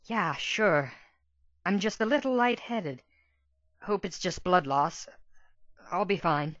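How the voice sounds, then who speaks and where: A woman playing a gentle, injured young female pegasus pony in a dramatic story reading, speaking softly and weakly with labored breath. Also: lowish voice, lively intonation, takes it slowly, no room sound, dull audio. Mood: woozy, polite. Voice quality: soft, weak voice.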